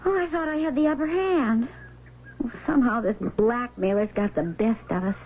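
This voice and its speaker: An actress playing a domineering, calculating wife with a scornful, commanding way of talking, in 1970s radio drama style. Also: female voice, on the high side, normal speed, close to an everyday voice. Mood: fretful, bitter. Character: domineering, vengeful rich wife, sharp-tongued and calculating. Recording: narrowband, noisy old radio broadcast recording.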